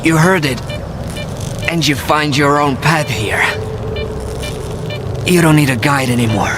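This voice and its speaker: An actor playing a weary, fatalistic male guide in a video game cutscene, speaking in a deliberate, reflective way. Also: on the high side, over music, close to an everyday voice. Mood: resigned, fond.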